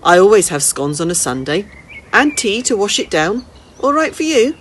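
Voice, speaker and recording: A storybook old lady, small, brisk and blunt with a kindly streak, acted out by a woman reading aloud. Her pitch leaps up in lively swoops on her chatty questions. There is faint noise behind her. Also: natural voice. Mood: brisk, welcoming. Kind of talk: children's storybook character reading.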